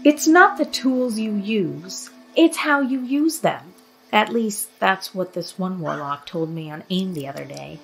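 A bossy teenage girl from a horror audio drama. She drags her words slowly, taunting and eerie. There is some ambient noise behind her voice. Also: mid-range voice, expressive, gravelly. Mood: commanding, coaxing. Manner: slow, drawn-out, taunting.

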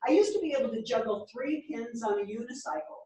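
A woman's voice: fairly high pitch, animated, fast talker, warm tone, natural voice. Distant, echoing brooding older writer played by a woman. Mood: reflective. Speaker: actor in a staged reading. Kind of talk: staged play reading. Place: small theatre stage, indoors. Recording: very echoey theatre, distant microphone.

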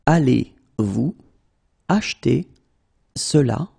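French guy teaching phrases super slow, dark husky voice, says each one then word by word. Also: medium pitch, expressive, no room sound, clean studio sound. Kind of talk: slow phrase-by-phrase language drill.

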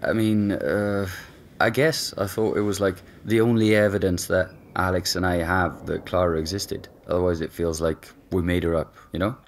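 A hesitant, reflective young man with a very deep voice. He muses with pauses and fillers, a faint hiss of noise beneath. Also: little pitch movement. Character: reflective young man, missing woman's ex-flatmate. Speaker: former flatmate. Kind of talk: conversational audio drama acting.

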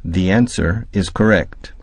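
Slow deep-voiced man reading phrases. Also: no room sound. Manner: slow, even, careful enunciation. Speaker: English phrase reader. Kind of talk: slow read-aloud language drill.

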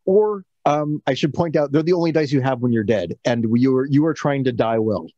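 A man's voice: medium pitch, fairly quick, mellow, no echo. A man casually explaining game rules on a group video call, repeating phrases as he gets started. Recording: dull band-limited online call.

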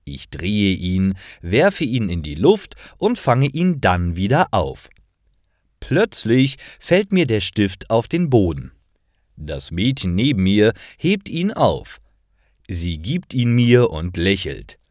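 A man reading scripted stories for language learners, with deliberate, careful phrasing. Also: fairly low pitch, wildly swooping pitch, a little mellow, dry recording, studio quality.

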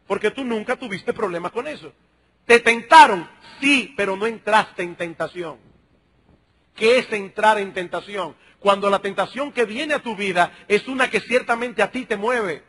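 A middle-aged man preaching a sermon as a pastor, emphatic and husky, addressing his listeners directly with rhetorical questions. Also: sits high, sharp tone. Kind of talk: sermon, expository preaching to a congregation.

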